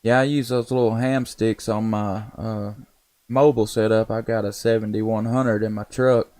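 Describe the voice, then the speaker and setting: Smooth-voiced Southern American man chatting. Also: on the low side, little pitch movement, moderate pace, darkish tone. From Tennessee, United States. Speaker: amateur radio operator and live streamer. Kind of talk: casual ham radio chat.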